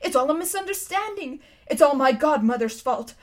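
Woman as a cowardly old storybook gentleman, high strained pitch, wailing, trembling, pleading, fussy. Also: animated, moderate pace. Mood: wailing self-pity.

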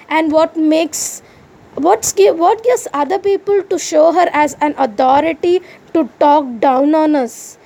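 A woman speaking in a high voice with a gravelly edge. She has an Indian English accent, and English is not her first language. She talks straight to her listeners in a personal podcast, venting indignantly and firing off rhetorical questions. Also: darkish tone. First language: Indian language other than Hindi. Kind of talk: personal monologue to the audience.

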